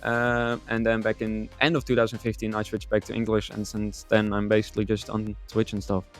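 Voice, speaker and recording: A man's voice: lowish voice, monotone, fairly quick, soft background music. A man in his twenties from the Netherlands, giving casual answers as a streamer guest on a podcast. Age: 20s.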